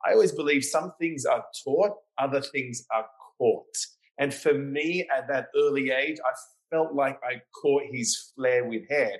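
A man's voice: mid-range voice, a little slow, low-quality recording. A man with an Australian accent, a hairdresser guest telling stories in a casual interview, often adding "you know".

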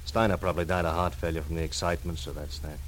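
An actor playing a weary, skeptical police lieutenant in an old radio crime drama, dry and clipped. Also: male voice, deep voice, flat delivery, rapid-fire, lightly acted. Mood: sardonic, suspicious. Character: weary, sardonic male police detective lieutenant. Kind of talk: 1940s-50s radio crime drama acting. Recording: old radio recording, some noise.

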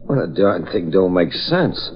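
Low-voiced, lively radio reporter. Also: male voice, a little slow, a little hiss or hum. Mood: curious musing. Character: curious young male newspaper reporter, hurried and informal. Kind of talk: 1940s radio acting. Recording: dull old radio recording.

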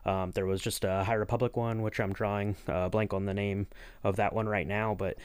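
A man with a fairly deep voice interviews on a podcast in a dry, close recording. He is casual and upbeat about things, and he rambles as he works toward each question. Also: level tone, fairly quick, smooth, no room sound. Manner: rambling, enthusiastic, peppered with "you know". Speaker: podcast co-host acting as interviewer.

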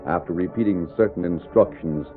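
A man's voice: low-pitched, normal speed. A man narrating an old-time radio Western as announcer, formal and theatrical in a scripted dramatic style. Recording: tinny vintage radio with music.

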